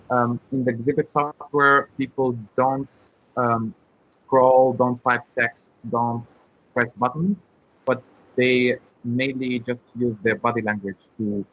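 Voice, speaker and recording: A man speaks over a muffled online call. His pitch is medium, and he explains things informally in a halting way, often repeating words as he looks for the right phrase. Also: husky. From Morocco. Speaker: startup founder, webinar guest. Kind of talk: informal interview answers, explaining.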